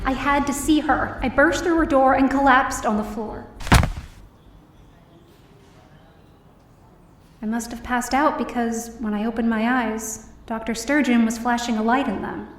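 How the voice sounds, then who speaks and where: A woman's voice: on the high side, fairly even intonation, normal speed, few pauses, reverberant, far from the mic, a little hiss or hum, close to an everyday voice. A woman playing an emotional young woman who narrates her own story in an audio drama. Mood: urgent narration. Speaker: first-person narrator and lead.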